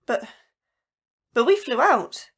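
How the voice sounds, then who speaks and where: A woman's voice: high voice, very animated, slow, creaky, breathy edge, exaggerated voice. An actress playing an anxious, frightened female scientist in an audio drama, speaking hesitantly with stammers. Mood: bewildered, wary. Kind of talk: emotional audio drama acting.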